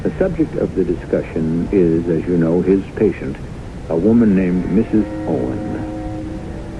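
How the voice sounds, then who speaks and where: A man hosting and narrating a radio drama in a measured, theatrical style. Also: low voice, creaky. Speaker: host and narrator. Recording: muffled, noisy old radio broadcast.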